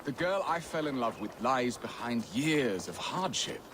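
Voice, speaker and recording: A man's voice: mid-range voice, very animated, a little slow, background noise. An actor playing a jovial, romantic, middle-aged medieval nobleman in a video game, speaking in flowery, archaic phrases. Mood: wistful, confiding. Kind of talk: video game cutscene acting.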